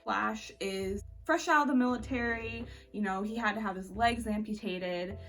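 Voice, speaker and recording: A woman with a medium-pitched voice reviews books in a casual, conversational vlog style. She speaks informally and gives her opinions with plenty of slang. The mic sits a little away from her, with faint music behind. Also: moderate pace, fairly bright tone, far from the mic. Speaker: book reviewer vlogger. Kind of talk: casual chatty book review to camera.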